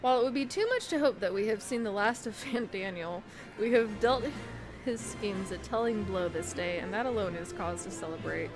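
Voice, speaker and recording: Female let's-play streamer, mid-range voice, theatrical read-aloud with playful casual commentary, clear game music underneath. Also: mid-range voice, moderate pace. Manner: theatrical when reading, with casual playful asides. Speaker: streamer playing through a game and reading unvoiced dialogue aloud. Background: video game music.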